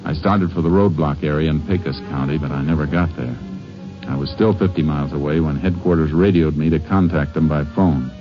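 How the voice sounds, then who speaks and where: An American actor playing a steady, laconic Texas Ranger who narrates his own manhunt in 1950s radio drama style. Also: extremely low pitch, a little fast. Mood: grim narration. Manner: laconic, terse, matter-of-fact. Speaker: lead actor and first-person narrator. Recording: dull vintage radio recording with music.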